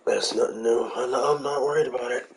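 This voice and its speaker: A congested man chatting quietly at home early in the morning as he starts a game stream. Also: medium pitch, quick pace, a little hiss or hum. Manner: quiet, trying not to be loud. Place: home room.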